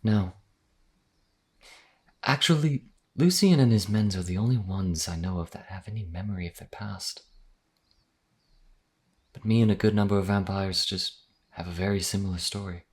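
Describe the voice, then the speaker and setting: A man's voice: normal speed, pauses often. A man playing a modest, earnest vampire wanderer in a fantasy audio roleplay, explaining things in a hesitant, conversational way. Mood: earnest, subdued. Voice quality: deep, husky.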